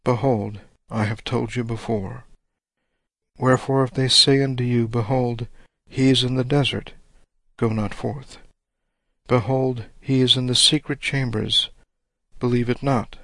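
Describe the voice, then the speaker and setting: Slow, congested man preaching. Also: medium pitch, fairly even intonation, a bit bright, dry recording. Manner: deliberate, measured reading of old-style scripture. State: congested, a little rough. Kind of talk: slow sermon with scripture reading.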